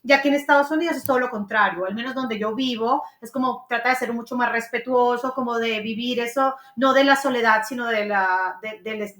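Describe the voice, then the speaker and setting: Woman, podcast host: bright, chatty delivery, somewhat high voice, roomy echo. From Colombia. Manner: chatty, searching for words with many restarts. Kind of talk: casual conversation.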